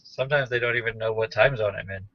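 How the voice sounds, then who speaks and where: A man's voice: fairly low pitch, fast, no echo. A man chatting casually and wryly as a podcast co-host, telling anecdotes. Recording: dull, lo-fi captured call audio.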